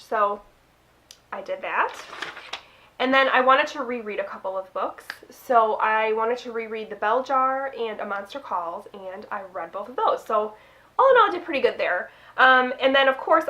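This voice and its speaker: A woman's voice: medium pitch, sharp tone, poor audio. A young woman with a stuffy nose from a cold, chatting casually to camera about her reading, with lots of little "um"s and laughs. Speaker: book vlogger.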